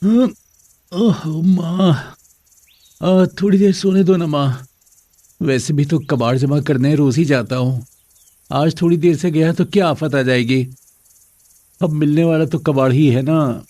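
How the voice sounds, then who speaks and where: A man playing a poor, carefree fifteen-year-old boy who talks to his mother in a whiny, colloquial way in an animated moral story. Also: a little high, measured pace, pauses often, no echo. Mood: sleepy, grumbling.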